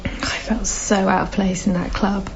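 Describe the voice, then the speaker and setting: An actress playing a loving, anxious, gently sceptical young mother in a radio drama, with an understated, intimate delivery. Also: medium pitch, little pitch movement, a little slow, vocal fry. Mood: wistful. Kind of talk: naturalistic radio drama acting. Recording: slightly dull, faintly noisy recording.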